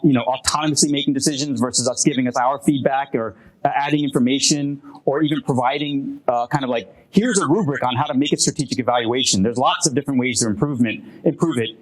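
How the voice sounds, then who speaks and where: A man presenting his research at a workshop and answering audience questions in a halting, self-correcting way, with frequent fillers and restarts. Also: mid-range voice, low-quality recording. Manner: hesitant, many restarts and fillers. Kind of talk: academic Q&A at a workshop. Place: conference room.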